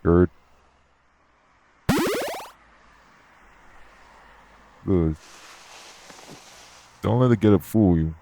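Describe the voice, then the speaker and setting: A man acts out a gruff, unbothered nightclub tough guy for an audio roleplay. His voice sits very low, with heavy gravel and a dark, relaxed tone. He speaks bluntly in streetwise slang, straight to the listener. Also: slow pace. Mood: blunt, firm. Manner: blunt, laid-back, streetwise slangy talk.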